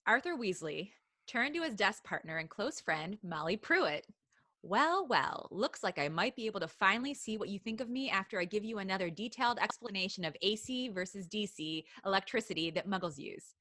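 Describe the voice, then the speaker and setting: A woman's voice: medium pitch, expressive, brisk, bright, forceful tone, no room sound. A woman co-hosting a live podcast, reading a fan story aloud with laughing asides and casual chat between passages.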